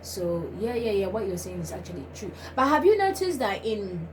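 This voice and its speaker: A woman's voice: mid-range voice, big pitch swings, normal speed. A young woman from Finland, the host of a vlog, chatting casually and animatedly with many fillers and laughs. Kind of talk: casual chat. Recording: noisy home recording.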